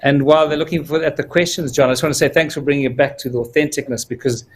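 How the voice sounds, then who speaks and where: A man's voice: fairly high pitch, steady tone, very fast. A man with a South African accent, a film producer answering viewer questions at length on a livestream, with frequent fillers.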